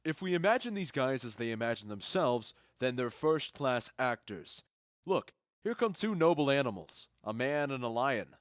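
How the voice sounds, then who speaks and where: An actor playing a witty, indulgent duke who comments wryly in a comic audio drama. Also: male voice, medium pitch, big pitch swings, normal speed, dry recording, studio quality, close to an everyday voice. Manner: wry, amused commentary. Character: witty, indulgent duke watching an amateur play. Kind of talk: modernized Shakespeare audio drama comedy.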